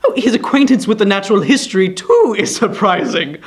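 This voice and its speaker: A man voicing a fawning, obsequious lawyer who gushes and stammers flattery. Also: sits high, fairly quick, voice pushed up. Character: fawning obsequious male lawyer, middle-aged.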